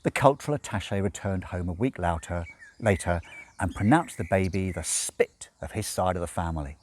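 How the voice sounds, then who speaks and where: A man reading a novel aloud as expressive narration, with measured, dramatic phrasing and deliberate pauses. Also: low-pitched. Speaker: audiobook reader.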